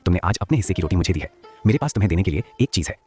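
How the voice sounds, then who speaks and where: Benevolent roaming beggar elder for an animated fable, male actor: lowish voice, solemn, grateful, generous. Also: very fast, soft background music. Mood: grateful, blessing. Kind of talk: cartoon moral-story dialogue.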